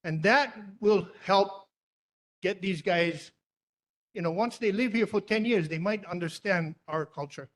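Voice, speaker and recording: A man's voice: high voice, a little slow. A man testifying at a community meeting, plainspoken, rambling and defiant. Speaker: public testifier.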